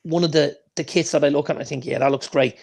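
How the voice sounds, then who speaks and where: A man's voice: a little high, steady tone, fast talker, vocal fry, a little mellow. A man co-hosting a casual sports podcast, enthusiastic and emphatic, repeating phrases for stress. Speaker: podcast co-host. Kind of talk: casual chat.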